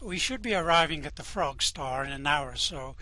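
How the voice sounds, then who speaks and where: A man's voice: fairly high pitch, lively intonation, brisk, bright, forceful tone. A man voicing a cheerfully evil male alien prison official with a smarmy, falsely polite way of talking, in an amateur radio play reading.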